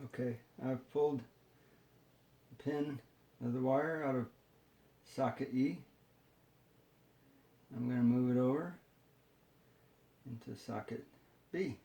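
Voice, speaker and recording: Male how-to presenter, unhurried and dark-toned, unscripted, explaining each step haltingly in an echoey room. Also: mid-range voice, dull audio. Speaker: tutorial presenter. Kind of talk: hands-on how-to demonstration, unscripted.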